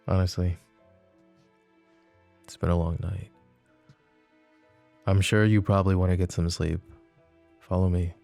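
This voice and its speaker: A man voicing a possessive, flirtatious mafia boss in an ASMR roleplay drama, with a low, soft, teasing drawl. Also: vocal fry. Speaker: voice actor.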